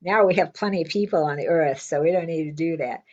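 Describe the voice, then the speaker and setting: An older woman in semi-retirement telling a casual story with a loud, blunt way of talking, acting out what people said. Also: deep voice, quick pace, dull audio. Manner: lively, blunt, quotes people in her stories. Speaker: interviewee.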